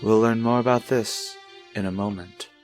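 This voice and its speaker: An actor playing an intimate, solemn soap opera narrator in old radio style, with deliberate dramatic pauses. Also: male voice, fairly low pitch, steady tone, faint music behind, close to an everyday voice. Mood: solemn, confiding.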